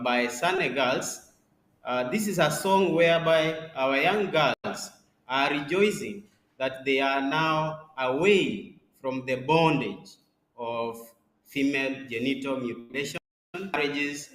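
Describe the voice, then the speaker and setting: Slow, bright-toned Kenyan man moderating. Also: mid-range voice. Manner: unhurried, with frequent "uh" pauses. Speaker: summit moderator. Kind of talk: introducing program items. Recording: echoey room.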